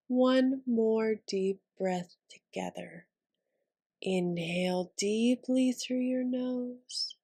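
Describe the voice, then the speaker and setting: A woman leading a guided meditation in a soothing, breathy, whispery voice, leaving long pauses between gentle instructions. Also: on the low side.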